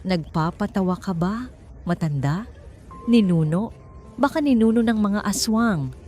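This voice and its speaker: A woman's voice: mid-range voice, lively intonation, measured pace, darkish tone, soft background music, pitched above the performer's own voice, natural voice. Female narrator as Filipino bully of a man, hot-headed, sneering, blustering, audiobook villain. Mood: mocking. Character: mocking, hot-headed male thug with a chainsaw.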